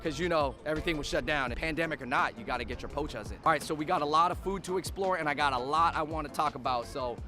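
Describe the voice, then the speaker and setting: A chatty man hosts a food vlog, his voice a little hoarse and his delivery upbeat and excitable. Clear music plays under him, with street traffic heard outdoors. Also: a little high, quick pace, hardly any pauses. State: slightly hoarse. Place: outdoors on a city street and inside restaurants.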